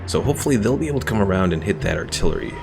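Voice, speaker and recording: Man, low gravelly voice, laid-back gameplay commentary over game music. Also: rapid-fire. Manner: relaxed, rambling, reads viewer chat aloud. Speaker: game streamer. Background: game music and battle sounds.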